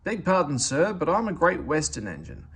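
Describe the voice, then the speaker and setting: A man's voice: a little high, huge pitch swings, normal speed, fairly bright tone, slightly rough, pitched above the performer's own voice, exaggerated voice. A man voicing a polite, self-assured tank engine in a storybook, courteous but firm. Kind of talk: storybook character voice.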